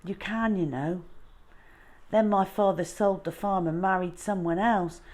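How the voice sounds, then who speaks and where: A woman's voice: medium pitch, measured pace, a little mellow, lightly acted. A woman voicing an earnest, wistful runaway schoolboy in a storybook reading. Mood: sad, bitter. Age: child.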